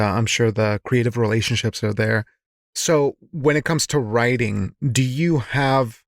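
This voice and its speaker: Male interviewer, mid-pitched with heavy vocal fry, encouraging conversational style, very dry recording. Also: no room sound. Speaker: podcast host, interviewer.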